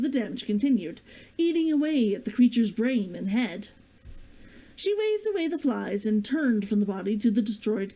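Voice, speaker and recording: A woman streamer and author with an expressive way of talking, chatting to viewers and reading a story aloud. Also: medium pitch, low-quality recording. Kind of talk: chatty greeting, then storybook read-aloud.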